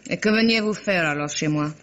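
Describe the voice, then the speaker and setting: A woman's voice: on the low side, a little fast, a bit bright, lightly acted. An actress playing a proud, haughty society woman from Spain in an emphatic vintage French radio mystery drama. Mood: defiant. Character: proud, theatrical Spanish society woman named Carmen, accused. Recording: dull old lo-fi radio recording.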